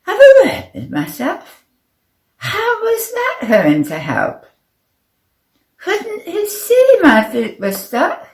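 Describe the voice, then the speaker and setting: A woman's voice: very high-pitched, wildly swooping pitch, extremely slow, roomy sound, pitched above the performer's own voice, full character voice. A woman voicing a bewildered, indignant young male footballer in a children's story, exclaiming incredulously.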